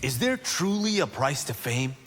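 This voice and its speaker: A man's voice: mid-range voice, sing-song, moderate pace, a bit bright, in a large hall. A man playing a proud, eager young martial arts monk who boasts with self-assurance in video game banter. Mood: casual.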